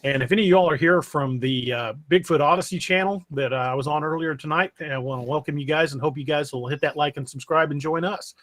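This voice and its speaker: A man's voice: fairly high pitch, fast talker, hardly any pauses, a bit bright. A man casually hosting a livestream podcast in a folksy, chummy way. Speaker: podcast host.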